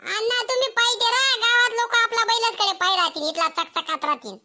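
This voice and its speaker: Young cartoon farm boy, piercingly high, bright, eager, Ahirani accent. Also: brisk, heavily acted. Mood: eager, helpful. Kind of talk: comedy cartoon dialogue.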